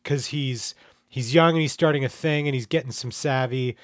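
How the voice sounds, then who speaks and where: Guy on a podcast being opinionated, casual but emphatic, normal pitch, very dry recording. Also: moderate pace, dry recording. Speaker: podcast co-host.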